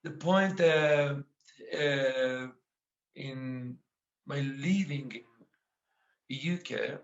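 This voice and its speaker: Slow, halting Afghan poet with an Iranian accent. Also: male voice, mid-range voice, muffled recording. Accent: Iranian-accented English. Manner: halting, many filler pauses. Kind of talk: panel talk about his life and poetry.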